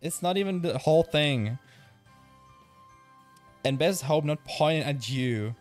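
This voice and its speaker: A man's voice: on the high side, expressive, a little slow, soft background music. A young man from the Czech Republic with a Czech accent, a streamer chatting casually, reading chat aloud and swearing now and then. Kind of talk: casual live-stream chat while gaming.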